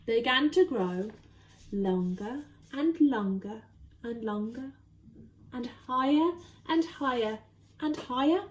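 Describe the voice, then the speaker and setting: Lady reading a kids story, high voice, super animated, leans on words for drama. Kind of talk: expressive children's story narration.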